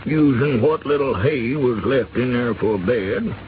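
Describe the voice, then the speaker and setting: An actor playing a kindly, amiable American country doctor in a 1930s radio drama. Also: male voice, mid-range voice, a little slow, natural voice. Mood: reassuring. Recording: noisy, muffled 1930s radio recording.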